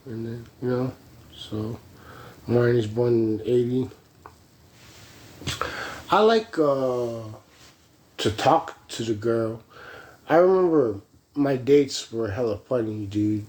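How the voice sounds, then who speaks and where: An American man in his forties rambling casually in a halting, slangy, meandering way. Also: mid-range voice, darkish tone. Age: 40s. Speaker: vlogger.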